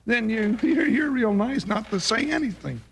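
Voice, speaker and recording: A big, bumbling old cowboy sidekick from a Western radio play. The voice is very high and cracked, full of gravel, and swoops around in a folksy, blustery drawl. He comes across as kindly and homespun. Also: lively intonation, moderate pace, fairly bright tone, a little hiss or hum, heavily acted. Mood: mock-offended. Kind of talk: 1950s Western radio acting.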